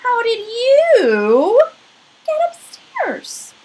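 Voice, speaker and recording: A woman voicing a startled male night watchman in a children's storybook, exclaiming with drawn-out words. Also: extremely high pitch, wildly swooping pitch, darkish tone, pitched above the performer's own voice, heavily acted. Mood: surprised. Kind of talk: children's storybook read-aloud.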